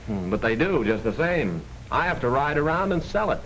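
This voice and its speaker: A man's voice: medium pitch, sing-song, moderate pace, a bit bright. An actor in a 1940s film playing an earnest small-town male insurance salesman, folksy, patient and persuasive. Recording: noisy 1940s film soundtrack.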